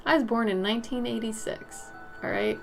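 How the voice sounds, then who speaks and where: A woman in her late thirties talks casually with her stream chat while she paints, frank and rambling, her voice sitting a little high. Soft music runs faintly behind her. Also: moderate pace, vocal fry, low-quality recording. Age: 30s. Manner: chatty, reads and answers chat, frank and loose.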